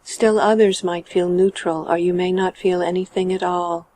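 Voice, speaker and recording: Woman, slightly low pitch, warm relaxed tone, guided meditation narration, gentle measured phrasing. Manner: calm, measured, gentle phrasing with trailing sentence ends.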